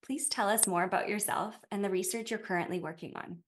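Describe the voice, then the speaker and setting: A woman hosting an academic podcast, reading prepared questions in a measured way. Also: medium pitch, a little hiss or hum. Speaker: podcast host. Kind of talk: scripted interview questions. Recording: loud music under the voice. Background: loud background music.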